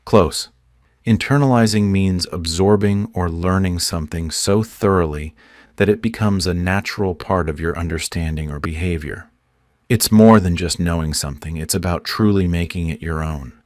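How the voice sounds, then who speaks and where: Very deep-voiced man defining words. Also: moderate pace, a little mellow, no echo, studio quality. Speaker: podcast host. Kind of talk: vocabulary review.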